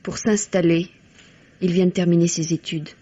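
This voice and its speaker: A woman's voice: lowish voice, fairly even intonation, normal speed, dark tone, natural voice. An actress playing an anxious, grieving young woman in a French radio mystery, reserved and hesitant. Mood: anxious, confiding. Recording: dull old radio recording.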